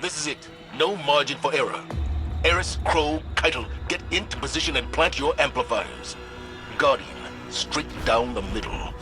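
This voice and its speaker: An actor playing a stern, resolute military commander in a video game, giving orders in a terse, authoritative way. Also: male voice, on the high side, normal speed, over music, close to an everyday voice. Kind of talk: cinematic video game acting.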